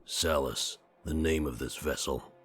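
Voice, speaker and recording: Very deep, solemn ancient spirit. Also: male voice, steady tone, a little slow, fairly bright tone, slightly rough, soft background music, full character voice. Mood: calm, commanding. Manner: cryptic, formal, speaks of itself as we. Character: ancient many-voiced spirit possessing a dead man's body, solemn. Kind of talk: visual novel game voice acting.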